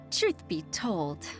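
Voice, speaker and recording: A hesitant middle-aged secretary. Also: female voice, lowish voice, heavily acted. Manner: warm, hesitant, with soft laughs. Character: earnest middle-aged female secretary, grateful and shy. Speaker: secretary and mother. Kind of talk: game voice acting.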